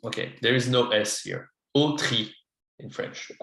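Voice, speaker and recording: A male language teacher speaks slowly and patiently, with an unhurried pace that gives a beginner time to follow. His voice sits at an ordinary pitch. The room around him rings with a noticeable echo. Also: pushed and bright, a little hiss or hum. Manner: patient, slow, coaching a beginner. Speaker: French teacher. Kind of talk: online lesson, mixing English and French.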